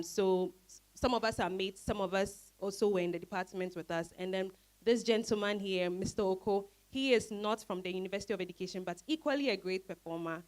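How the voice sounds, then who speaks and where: A Ghanaian-accented woman addresses an audience as director and host. She speaks informally at a medium pitch into a handheld microphone, with faint crowd and background noise. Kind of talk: informal thank-you speech to audience.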